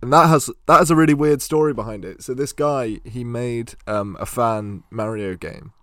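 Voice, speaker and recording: A man in his twenties chatting casually as a podcast co-host, with an excitable, enthusiastic manner. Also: medium pitch, normal speed, no echo. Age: 20s. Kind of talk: casual chat.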